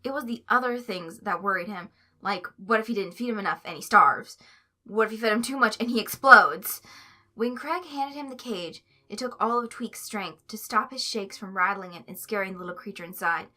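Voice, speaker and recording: A woman narrating a story aloud in an expressive, scripted reading style. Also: medium pitch, normal speed. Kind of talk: expressive fan fiction reading.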